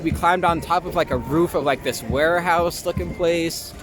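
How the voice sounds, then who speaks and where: A young American man with a slightly high voice speaks in a loose, candid interview style. His phrasing is halting and informal, peppered with "like" and casual swearing. Music is clearly audible behind him. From Long Island, New York, US. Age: young adult. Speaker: interview guest.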